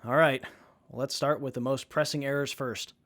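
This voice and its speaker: An actor playing an earnest, keen young mechanic in an audio drama, with a slightly hesitant, apologetic manner. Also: male voice, medium pitch, fairly even intonation, moderate pace, fairly bright tone, close to an everyday voice. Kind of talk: post-apocalyptic audio drama.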